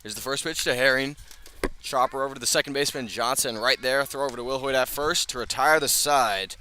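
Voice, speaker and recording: A man with a bright, forceful voice calls baseball play-by-play for radio. He delivers live commentary pitch by pitch in a medium-pitched voice. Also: a little fast. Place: outdoor ballpark broadcast position.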